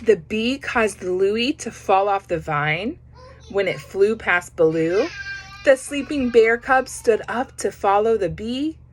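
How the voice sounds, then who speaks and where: A woman reading a children's picture book aloud, pausing to comment on the pictures. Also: mid-range voice, animated, slight background noise. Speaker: storybook reader.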